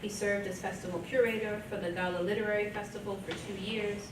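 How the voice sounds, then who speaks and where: A woman's voice: medium pitch, steady tone, fast. Woman reading an introduction, echoey and distant. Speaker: event presenter introducing an author. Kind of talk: scripted introduction read aloud. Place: hotel conference room. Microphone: podium microphone, recorded from across the room. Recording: echoey, distant, noisy room.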